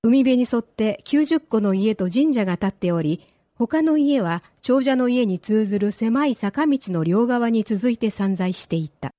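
A woman narrating a grave old village disaster tale for a radio drama in a measured, dramatic reading. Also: fairly high pitch, animated, mellow, no room sound, clean studio sound.

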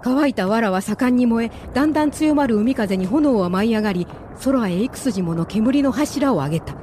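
A woman's voice: on the high side, lively intonation, moderate pace, dark tone, slight background noise. A woman narrating a radio drama as a scripted, dramatic story.

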